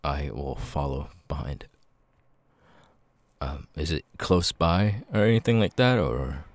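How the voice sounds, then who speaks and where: A man playing a nervous, grateful young outcast with vocal tics in an ASMR roleplay, speaking haltingly and repeating words. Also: no echo, heavily acted. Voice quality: very deep voice with stuttering, sudden high-pitched tic outbursts.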